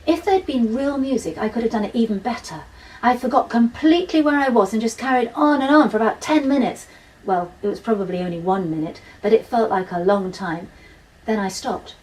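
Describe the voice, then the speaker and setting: A woman's voice: medium pitch, a little mellow. Woman reading a children's story, slightly distant. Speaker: author reading from a children's novel. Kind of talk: first-person children's audiobook narration.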